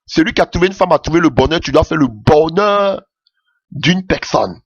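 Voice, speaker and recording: A man's voice: animated, moderate pace, breathy edge, no echo, dull audio. A man preaching a sermon with passion, calling out alleluia often as his voice rises. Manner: impassioned, rising pitch with frequent alleluias.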